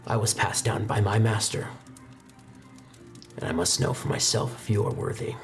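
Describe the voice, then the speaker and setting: A man acts out a stern old master of the sword for a tabletop game. He is solemn and measured, giving instruction with gravity. Music plays behind him. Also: lowish voice, steady tone, pitched below the performer's own voice, lightly acted. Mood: grave, reverent. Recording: background music under the voice.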